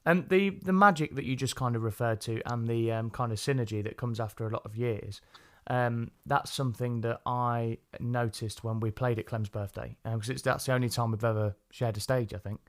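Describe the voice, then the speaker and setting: A man's voice: medium pitch, fairly even intonation, a little fast, fairly bright tone. A man hosting a music podcast, chatting in a rambling, easygoing way. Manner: rambling, easygoing, many fillers. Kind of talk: casual interview chat.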